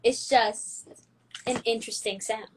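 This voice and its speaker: A woman's voice: a little high, steady tone, a little slow, slightly rough, rough recording. A young woman guesting on a podcast, answering questions in a wry, self-deprecating way. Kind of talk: casual interview chat.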